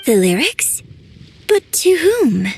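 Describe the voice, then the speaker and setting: An actress voicing a graceful young opera writer in a video game, refined, polite and modest. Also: female voice, high-pitched, very animated, slow pace, vocal fry, full character voice. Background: faint game music.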